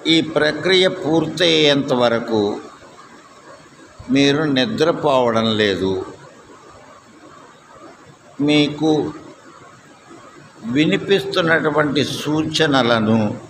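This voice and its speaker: Male meditation instructor, calm and deliberate, extremely unhurried pacing with long gaps; noticeable ambient noise behind the voice. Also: mid-range voice, rough recording. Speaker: yoga nidra instructor. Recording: clear steady background noise.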